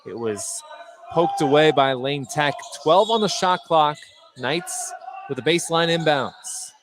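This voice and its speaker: A man with a fairly high voice announces a basketball game live on radio. His delivery is built to climb on big plays. There is gym noise behind him. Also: measured pace. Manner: rises to shouts on big plays. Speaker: play-by-play announcer. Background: basketball game in a gym, bouncing balls.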